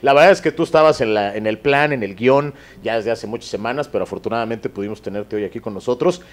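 A man's voice: medium pitch, quick pace. A middle-aged Mexican man, an effusive and teasing podcast host, chatting casually into a handheld microphone. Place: small indoor room with stone wall.

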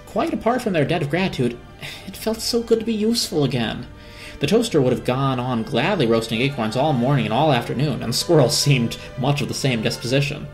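A woman narrating a children's storybook as an expressive dramatic reading. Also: low voice.

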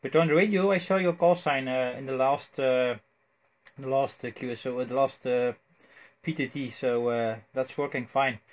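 A man with a Belgian accent chats casually as an amateur radio operator, phrasing things haltingly. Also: mid-range voice, steady tone. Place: indoors at a cluttered electronics desk. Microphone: cell phone near the desk.

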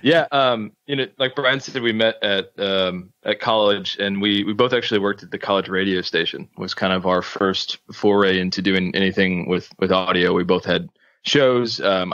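A man, a podcast guest, talking in a relaxed way with many ums, from a studio space. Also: sits low, normal speed, dry recording, lo-fi sound. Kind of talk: casual interview.

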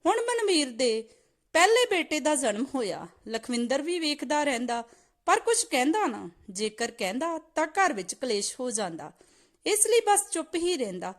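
Female storyteller, high voice with lively swings, reading scripted family tales. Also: moderate pace. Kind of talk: scripted moral story narration.

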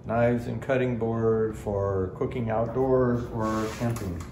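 A man's voice: medium pitch, fairly even intonation, drawn-out words, heavy background noise. A man, chatting casually in a large studio room. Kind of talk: casual chat. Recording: music over the voice.

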